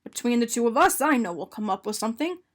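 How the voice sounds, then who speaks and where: A fussy but kindly storybook man, acted by a woman, pitched high with plenty of movement in the melody. He is polite and matter-of-fact, like a proper gentleman explaining himself. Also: expressive, fast talker. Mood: reasonable. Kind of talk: animated picture-book character reading.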